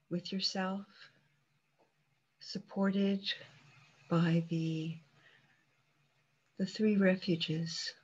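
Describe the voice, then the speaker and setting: A woman meditation teacher leading a guided meditation calmly and unhurriedly, leaving long pauses between short phrases. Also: on the low side, slightly rough, lo-fi sound.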